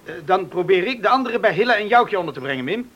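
A man's voice: high voice, expressive, quick pace, fairly bright tone, dull audio. A man acting an earnest, practical young village man in a radio drama, speaking in a straightforward way.

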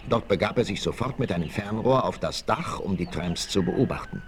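A man's voice: low voice, animated, fairly quick, crowd noise. A man narrating a 1960s radio adventure as scripted, dramatic storytelling. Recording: 1968 vinyl radio play, noisy.

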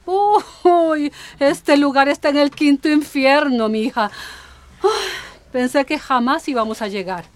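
Exuberant high-voiced older mother. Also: unhurried, dry recording. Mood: complaining, dramatic. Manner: effusive, exclaiming, uses affectionate diminutives. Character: exuberant older mother, chatty, dramatic, theatrically complaining. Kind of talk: radio drama acting.

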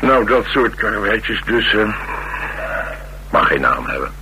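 An actor playing a rough, hard-drinking drifter and former mechanic in a radio drama, speaking tersely with dry, slangy nonchalance. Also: male voice, lowish voice, big pitch swings, takes it slowly, pushed and bright, heavily acted, rough recording. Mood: wry, nonchalant. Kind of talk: naturalistic Dutch radio drama acting. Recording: radio recording with background hiss and hum.

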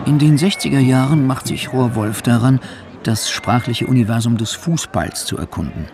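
A man's voice: medium pitch, moderate pace. A man reading scripted narration for a radio feature. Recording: clear background noise.

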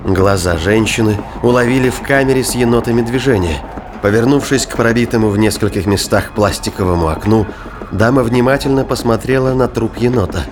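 A man's voice: mid-range voice, brisk, background noise. A man reading scripted dramatic narration for a sci-fi thriller audio drama. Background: music.

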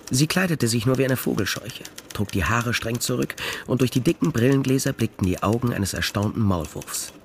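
An actor playing a laconic, sardonic private detective in a radio crime drama, in both dialogue and first-person narration. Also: male voice, mid-range voice, vocal fry, slight background noise. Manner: dry, sardonic. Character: wry, laconic male private detective, hard-boiled narrator.

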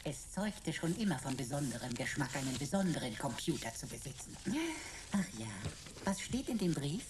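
A woman's voice: rumbling low, sing-song, moderate pace, noisy background. A woman playing an eccentric, chattering computer sidekick in a radio play, talking in pompous, florid, archaic phrases with mocking sarcasm. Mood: smug lecturing.

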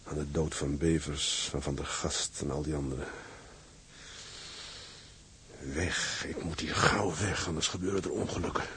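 Hot-tempered, brooding sea captain, embittered and desperate, radio drama with audible hiss. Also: male voice, very deep voice, steady tone, normal speed, fairly bright tone. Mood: brooding, bitter. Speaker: actor. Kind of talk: Dutch radio drama acting. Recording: hissy, noisy old radio recording.

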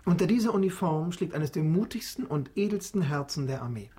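Higher-voiced young aristocratic gentleman played by a man. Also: lively intonation, fairly quick, lightly acted. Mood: gracious, attentive. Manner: polite, well-bred, sociable. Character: genteel young aristocratic host, eager and admiring. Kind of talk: radio drama acting.

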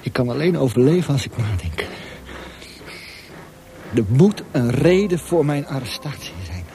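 An actor in a radio drama playing an anguished young prisoner thinking aloud in an intense, introspective monologue. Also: male voice, mid-range voice, sing-song, moderate pace, a little hiss or hum. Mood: urgent, reasoning. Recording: loud music behind the voice.

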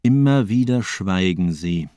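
An actor playing a fanatical, cold-blooded doctor and torturer in a crime radio play, declaiming in a grandiose, rhetorical way. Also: male voice, sits low, animated, unhurried, mellow, clean tone, no room sound, studio quality. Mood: calm, sad. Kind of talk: dramatic radio play monologue.

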